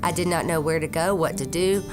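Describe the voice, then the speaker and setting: A woman's voice: low voice, fairly quick, music in the background. A woman speaking earnestly about her recovery as a drug court participant, with an occasional hesitant um. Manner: earnest, a few hesitant ums. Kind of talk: testimonial statement.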